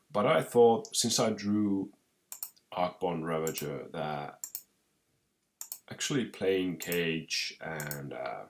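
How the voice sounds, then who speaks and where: A young man with a Finnish accent who is sick with a cold, commentating his own card game match with many pauses, restarts and throat clearing. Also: low-pitched. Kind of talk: casual gameplay commentary.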